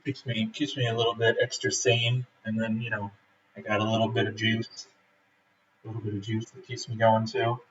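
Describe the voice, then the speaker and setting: A man from Michigan, chatting casually and haltingly with lots of fillers while livestreaming his home brew day. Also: on the low side, completely flat delivery, a bit bright, slight background noise. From Michigan, USA. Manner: rambling, halting, many fillers, laughs often. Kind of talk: casual live-stream chat. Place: home brewing setup in Denmark, rainy day. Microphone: streaming camera and laptop. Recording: roomy, distant, dull lo-fi sound with faint ambience.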